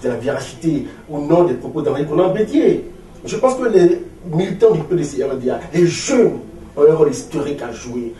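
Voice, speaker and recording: A man's voice: on the high side, dark tone. A man in his forties with an Ivorian French accent, speaking as a political leader in an interview, emphatic and rhetorical with repeated phrases. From Ivory Coast. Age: 40s. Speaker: opposition political party leader being interviewed. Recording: roomy, distant mic with faint noise.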